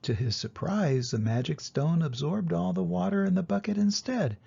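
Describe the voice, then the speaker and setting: A man's voice: a little high, normal speed, gravelly, warm tone, breathy edge, muffled recording. An older man reading a children's storybook aloud as a scripted narration.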